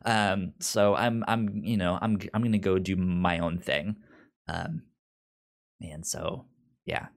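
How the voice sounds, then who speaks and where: A man co-hosting a podcast in casual discussion, halting and often stammering over repeated words. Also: sits low, fairly even intonation, vocal fry. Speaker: podcast co-host. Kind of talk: casual comic book discussion.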